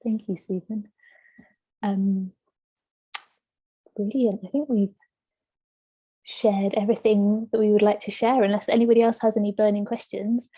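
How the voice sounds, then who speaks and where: Female host, mid-pitched and husky, mellow tone, casual delivery. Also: little pitch movement, moderate pace, long pauses, poor audio. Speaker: host of an online book launch. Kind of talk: informal event hosting. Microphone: online video call.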